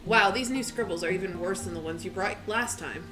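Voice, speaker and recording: A woman's voice: on the low side, a little fast, natural voice. Woman playing a sneering heckler, echoey. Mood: mocking. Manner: mocking, dismissive. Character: sneering rude man, a troll heckling an artist. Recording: echoey room, music behind.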